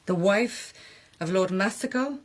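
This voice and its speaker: An actress playing an intelligent, outspoken lady of the court in a radio drama, speaking with deliberate, rhetorical phrasing and pointed irony. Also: mid-range voice, lightly acted. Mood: bitterly ironic.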